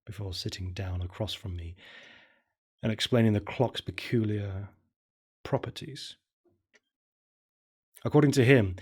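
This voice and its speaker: A man's voice: lowish voice, vocal fry, slightly rough. A man telling a first-person horror story in a somber, murmuring way.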